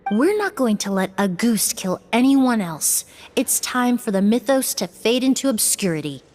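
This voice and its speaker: An actress playing a composed, analytical young woman investigator in a fantasy video game, speaking in a formal, measured, articulate way. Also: on the high side, very animated, darkish tone, soft background music. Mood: resolute. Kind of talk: scripted video game voice acting.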